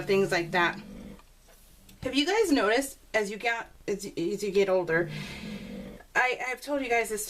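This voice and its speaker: A woman chatting casually and rambling as a craft video host, giggly and self-deprecating, telling stories with animated exclamations. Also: medium pitch. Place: at home, voice recorded live while crafting. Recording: noisy home recording with clicks.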